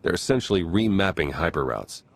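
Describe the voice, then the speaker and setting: A man's voice: low voice, animated, brisk, creaky, heavily acted. A man playing an easygoing, wry spy in a video game, casual and matter-of-fact. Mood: wry, businesslike. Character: wry, easygoing male spy briefing his team.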